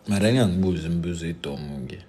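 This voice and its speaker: A man's voice: low voice, very fast, a little mellow, a little hiss or hum. A young South African man from Limpopo, chatting casually on a live stream, joking and mixing English with Zulu slang. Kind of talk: casual live stream chat answering viewers.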